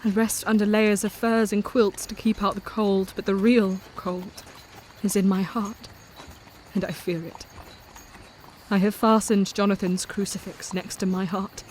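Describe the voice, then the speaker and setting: An actress playing a devoted, brave young wife in an audio drama, speaking gently and from the heart, with faint background noise. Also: female voice, medium pitch, steady tone, measured pace, close to an everyday voice. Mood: somber. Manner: gentle, heartfelt, softly spoken. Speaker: narrator of her own journal.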